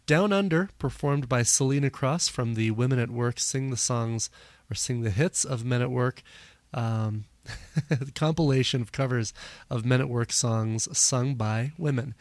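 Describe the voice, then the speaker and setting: A man hosting a music podcast in casual chat, his voice a little hoarse, talking with plenty of fillers. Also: medium pitch, dry recording. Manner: rambling, many fillers. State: slightly hoarse, losing his voice. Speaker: podcast host.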